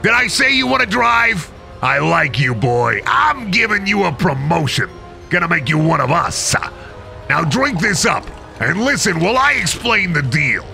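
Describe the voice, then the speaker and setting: Male actor for a scheming devil, drawling and boastful, with a rough, gravelly edge. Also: sits high, sing-song, moderate pace, full character voice. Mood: gleeful. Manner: folksy drawling salesman patter. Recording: over loud music score.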